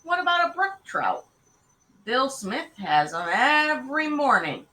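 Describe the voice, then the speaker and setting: A woman's voice: high-pitched, sing-song, unhurried, bright, forceful tone, voice pushed up, full character voice. A woman voicing a mild, grumbling father of young twins in a children's storybook, mock-stern and complaining. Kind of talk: children's storybook read aloud.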